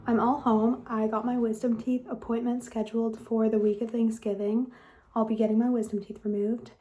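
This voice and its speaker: A woman's voice: fairly high pitch, level tone, moderate pace, dark tone, a little hiss or hum. A young woman in her late teens chatting casually into her phone camera at the beach and at home, rambling with frequent "like". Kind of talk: casual vlog talk to camera. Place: outdoors at the beach, then at home.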